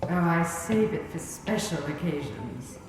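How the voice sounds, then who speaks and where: Casting: commanding rebel colonel, low register, slow grave delivery, sci-fi audio drama. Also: female voice, expressive, darkish tone. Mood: dry, stern. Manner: clipped, grave, authoritative. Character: stern, weary female rebel colonel, commanding and principled. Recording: echoey hall, clear background noise.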